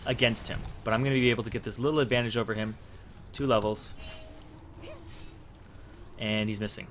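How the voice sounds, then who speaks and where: A man's voice: on the low side, steady tone, brisk, a bit bright, a little hiss or hum. A young man giving casual, explanatory gameplay commentary, thinking aloud as he plays. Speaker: gamer making a strategy guide. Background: faint game music and sounds.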